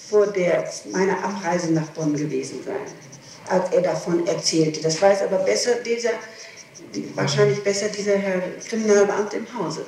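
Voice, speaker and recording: A woman answering questions in an interrogation, haltingly, often repeating and breaking off her phrases, on an old tape recording. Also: mid-range voice, darkish tone. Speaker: person being questioned by police. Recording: distant, echoey, noisy 1960s tape recording.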